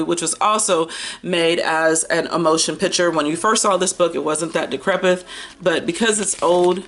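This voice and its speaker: An American woman in her early fifties chatting casually about books in an expressive, slangy, playful and rambling way. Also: fairly low pitch. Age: early 50s. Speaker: book vlogger. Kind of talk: casual chatty book haul and review.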